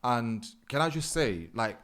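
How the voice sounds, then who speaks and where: A man's voice: medium pitch, unhurried. A young man hosting a podcast, chatting casually and rambling with lots of fillers. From not born in the UK. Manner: relaxed, rambling with many fillers. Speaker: podcast host. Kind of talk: casual chat.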